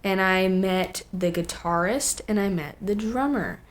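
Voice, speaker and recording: A woman's voice: mid-range voice, a little slow. Teenage girl, casual storyteller. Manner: animated retelling with acted-out quotes and frequent "like". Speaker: young singer and songwriter, interview guest.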